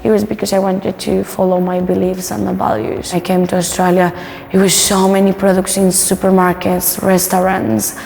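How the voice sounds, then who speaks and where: A woman's voice: medium pitch, flat delivery, normal speed, vocal fry, darkish tone, long reverb tail. A woman from Colombia, a native Spanish speaker with a Colombian accent, casually sharing personal stories and cooking as a guest. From Bogota, Colombia. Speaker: guest and home cook.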